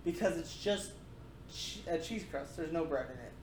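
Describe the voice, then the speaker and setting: A woman's voice: sits low, animated, normal speed, darkish tone. A woman co-hosting a podcast in casual chat, rambling with lots of fillers. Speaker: podcast co-host. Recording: echoey room with faint noise.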